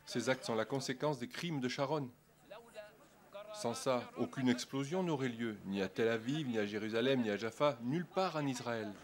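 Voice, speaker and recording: A man's voice: medium pitch, moderate pace. A man giving an impassioned, indignant testimony outdoors in a busy street. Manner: indignant, pleading. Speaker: interviewee. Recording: outdoor street recording with crowd. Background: crowd noise.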